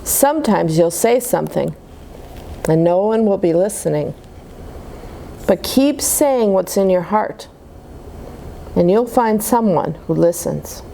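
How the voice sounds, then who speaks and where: A woman reading picture books aloud to young children in an expressive, explaining manner. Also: medium pitch, slow, creaky, pauses often, slight background noise. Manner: animated, simple explanations to children. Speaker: children's storybook reader at a church.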